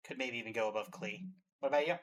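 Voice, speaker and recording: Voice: medium pitch, very fast. A host giving casual, opinionated fan commentary in a reaction show.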